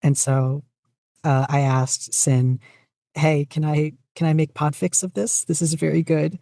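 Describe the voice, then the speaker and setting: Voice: medium pitch, flat delivery, measured pace, dark tone, no room sound. A podcast guest chatting casually about their own creative work in a hesitant, self-deprecating way. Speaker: podcast guest, fan fiction writer and audio narrator. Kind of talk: casual interview chat.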